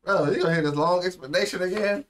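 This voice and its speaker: A young American man giving casual reaction commentary with slang and expressive exclamations. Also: high voice, fast. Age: young adult. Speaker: reaction video host. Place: small indoor room.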